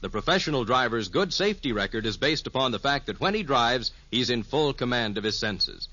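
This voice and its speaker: A man with an American accent reading scripted 1950s network radio announcements in a measured, emphatic way. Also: mid-range voice, animated, sharp tone. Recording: muffled vintage radio recording.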